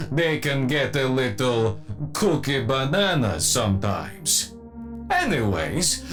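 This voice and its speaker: A man playing a theatrical alien villain father, doting and lecherous, in a comedic audio drama with a rambling delivery. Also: medium pitch.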